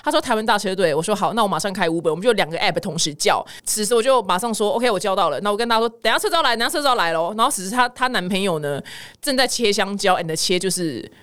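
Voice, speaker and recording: A young woman with a Taiwanese Mandarin accent chats casually as a podcast host, telling stories in an animated, exclaiming way and acting out dialogue. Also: mid-range voice, very fast, clean tone. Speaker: podcast host of a weekly news show. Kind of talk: casual chatty storytelling.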